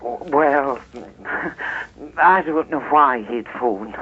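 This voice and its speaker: An actress in a radio drama playing an anxious, apologetic wife, speaking hesitantly down a telephone. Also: female voice, extremely low pitch, expressive, extremely slow, husky, exaggerated voice. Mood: worried, apologetic. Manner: hesitant, distracted. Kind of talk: naturalistic radio drama acting. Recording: dull telephone sound.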